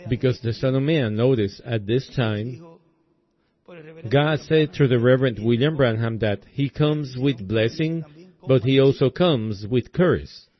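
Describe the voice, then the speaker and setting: Slow, hoarse male preacher. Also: mid-range voice, dull audio. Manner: deliberate, halting phrasing with many pauses. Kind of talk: sermon.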